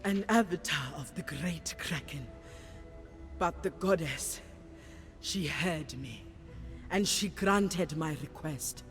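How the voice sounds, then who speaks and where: An actress playing a solemn, devout serpent priestess in a game cutscene, speaking in a measured, ceremonial manner. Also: female voice, low-pitched. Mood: resolute. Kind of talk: dramatic game cutscene acting. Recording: reverberant hall with faint music behind.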